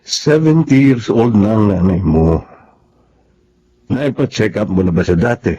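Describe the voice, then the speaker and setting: An actor playing a serious doctor questioning a family in a Filipino audio drama. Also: male voice, on the low side, lively intonation, measured pace, warm tone, breathy edge, lo-fi sound. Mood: grave.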